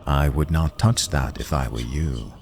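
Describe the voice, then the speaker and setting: A man voicing an enigmatic, ominous male stranger in a story, measured and cryptic. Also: rumbling low, gravelly, darkish tone, faint music behind, pitched below the performer's own voice, full character voice. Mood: warning.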